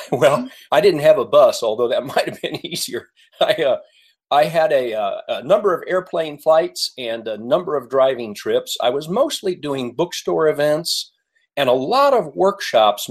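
An American man speaking as a podcast guest in a conversational interview, measured and polite with pauses. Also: fairly high pitch, expressive, slightly rough. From United States. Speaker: podcast guest, author and coach.

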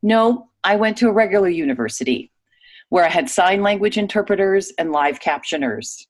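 A woman reading a guest's typed interview answers aloud in a clear, even manner. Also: mid-range voice, moderate pace.

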